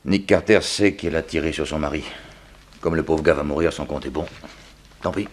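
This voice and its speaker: An actor playing a ruthless, cold spy, curt and menacing, in a 1960s French radio drama. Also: male voice, extremely low pitch, fairly quick. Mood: cold, calculating. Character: ruthless male German spy and cold schemer.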